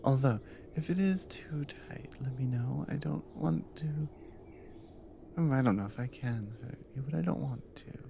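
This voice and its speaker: Woman playing a hushed, unhurried Nordic warrior wife. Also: extremely low pitch, a little hiss or hum, heavily acted. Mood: tender, then gentle, sincere. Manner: soft, hushed, often breathy whispering, slow and earnest. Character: devoted Nordic warrior wife, dominant, tender bounty hunter. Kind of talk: intimate ASMR audio roleplay.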